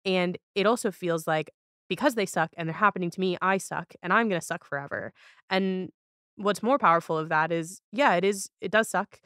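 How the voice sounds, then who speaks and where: A woman's voice: fairly low pitch, a little fast, fairly bright tone, smooth, no echo, studio quality. A woman hosting a podcast, talking in a reflective, earnest way and repeating phrases for emphasis. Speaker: podcast host.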